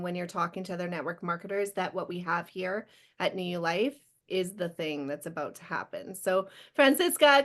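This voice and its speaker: A woman's voice: medium pitch, fairly bright tone. Encouraging woman hosting a call. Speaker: host.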